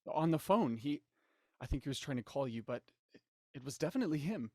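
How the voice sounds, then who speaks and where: A man's voice: a little high, lively intonation, a little fast, mellow, no echo, clean studio sound. An actor playing an anxious, skeptical man who pleads and stammers in an audio drama. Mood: agitated. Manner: hesitant, stammering.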